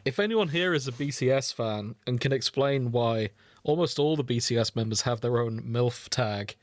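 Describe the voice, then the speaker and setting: A man's voice: medium pitch, moderate pace, no echo. A man co-hosting a podcast in casual comedic chat, giggly and riffing on absurd ideas. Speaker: podcast co-host.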